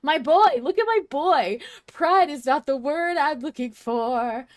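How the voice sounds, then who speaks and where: A woman reading out a fan email as an excitable, dramatic, gushing letter writer. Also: sits high, measured pace, pitched above the performer's own voice. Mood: gushing, emphatic. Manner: gushing, emphatic, theatrical.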